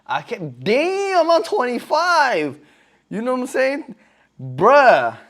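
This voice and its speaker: A man's voice: extremely high pitch, wildly swooping pitch, slow pace, a bit bright. A man in his twenties chatting casually on a live stream in slangy talk, often calling viewers "bro". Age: 20s.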